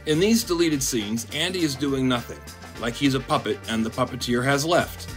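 A man narrating a scripted video essay in a dry, monotone, deadpan way. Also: medium pitch, normal speed, faint music behind. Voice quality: monotone delivery. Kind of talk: scripted commentary.

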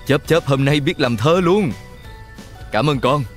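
A man playing a big, strong, caring father in an animated cartoon. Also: a little high, unhurried, over loud music. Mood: gentle.

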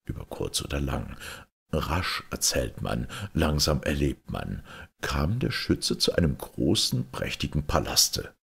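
A man's voice: very deep voice, sing-song, a little slow, vocal fry, a bit bright, husky. A man reading a fairy tale aloud as a storyteller.

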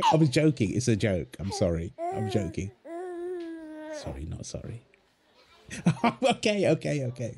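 Guy playing a sweet teasing young husband n dad, asmr roleplay, mellow soft voice, really goes up and down. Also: on the low side, slightly rough, full character voice. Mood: teasing, playful. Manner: teasing, tender, chuckles often while talking. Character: playful, doting young husband and father. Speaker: audio roleplay performer. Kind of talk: ASMR boyfriend roleplay, one-sided dialogue.

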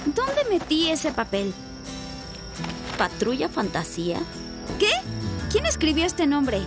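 A woman voicing a sassy, take-charge young sorceress girl in an animated cartoon, with a wry manner. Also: high voice, takes it slowly, full character voice. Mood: breezy. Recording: loud music behind.

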